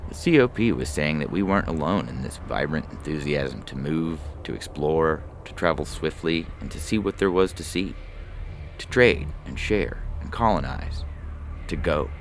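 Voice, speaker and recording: A man's voice: a bass voice, expressive, normal speed, slight background noise. A man reading a scripted first-person science fiction story as narrator. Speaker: story reader. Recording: music bed under the voice. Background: background music.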